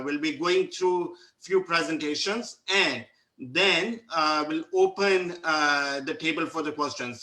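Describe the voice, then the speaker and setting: A man's voice: a little high, pushed and bright. A man hosting a company webinar, speaking hesitantly with many fillers and restarts. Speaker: webinar host. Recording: echoey room.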